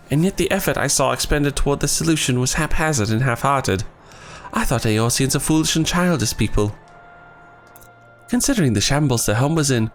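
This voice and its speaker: A man reading as a grave, earnest young scholar who speaks in formal, old-fashioned phrases, his voice a bit worn and scratchy. The delivery rolls up and down with lively pitch. Faint game music plays underneath. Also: lightly acted. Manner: archaic, formal phrasing, read in his own voice. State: losing his voice.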